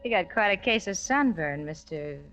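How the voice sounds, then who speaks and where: An actress in a 1940s radio suspense drama playing a bored, bitter housewife with a dry, sarcastic manner. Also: female voice, on the low side, animated, moderate pace, fairly bright tone, soft background music. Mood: bitter, sardonic. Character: bored, bitter, sardonic housewife femme fatale. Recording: dull old radio recording.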